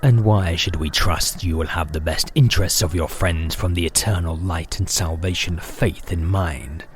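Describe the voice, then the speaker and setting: Man as low-voiced cult leader, smooth and threatening. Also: a little hiss or hum, close to an everyday voice. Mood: probing, silky. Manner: measured, silkily threatening. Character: smooth, calculating male cult leader interrogating a stranger.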